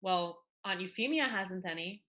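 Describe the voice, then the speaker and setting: A woman's voice: medium pitch, lively intonation, normal speed, bright, forceful tone. A woman playing a rueful, defiant teenage schoolgirl in a dramatic reading of a period play. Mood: frank, rueful.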